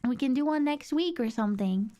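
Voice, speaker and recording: A young woman streamer with a sore throat, chatting excitably and rambling with lots of fillers. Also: high voice, fairly quick. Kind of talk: casual stream chat.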